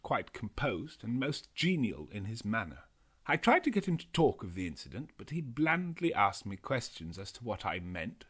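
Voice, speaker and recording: A man narrating a classic novel as an audiobook, in a measured and expressive reading. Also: lowish voice, breathy edge. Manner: measured, expressive reading with a lively, shifting delivery.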